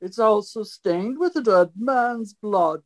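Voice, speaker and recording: A woman's voice: mid-range voice, slow, natural voice. A woman playing an imperious, theatrical great detective with a pompous, declamatory delivery in an old-time radio mystery parody. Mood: commanding, probing.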